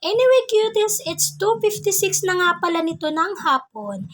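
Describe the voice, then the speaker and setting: Young woman, Filipino accent, extremely high voice, lively swooping intonation, playful vlog narration, giggly. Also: normal speed. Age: young adult. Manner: playful, giggly, mixes English into Tagalog. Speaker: vlogger. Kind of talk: scripted casual vlog narration with slang.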